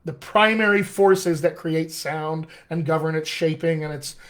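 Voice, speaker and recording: A middle-aged American man, a dialect coach, teaching a live online class in an animated, friendly way, often calling his viewers "my friends.". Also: a little high, measured pace. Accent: American English. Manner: animated, addresses viewers as "my friends", chuckles at own asides. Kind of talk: live-streamed teaching session.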